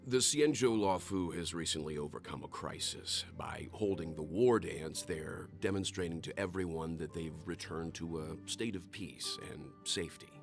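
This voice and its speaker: Steady middle-aged game mentor, really deep and gravelly, calm and thoughtful. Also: male voice, normal speed, few pauses, faint music behind. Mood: calm, considering. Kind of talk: video game voice acting.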